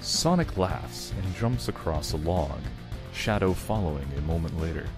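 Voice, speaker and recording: A man's voice: low-pitched, animated, normal speed, music in the background. A man narrating a fan fiction screenplay in an amateur reading.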